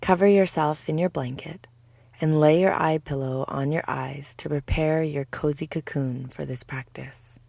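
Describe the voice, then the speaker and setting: Low-key woman guiding meditation, flat and creaky. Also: dry recording. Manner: soothing, deliberate, measured phrasing. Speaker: yoga nidra teacher. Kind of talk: guided meditation narration.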